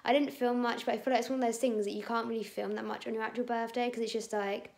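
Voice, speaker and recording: A woman, chatting casually to a phone camera at home in a husky, strained voice, rambling with lots of fillers. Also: on the high side, flat delivery, quick pace, darkish tone. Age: 20s. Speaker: vlogger. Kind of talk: casual vlog chat. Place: indoors at home, bedroom and kitchen. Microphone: phone camera held at arm's length.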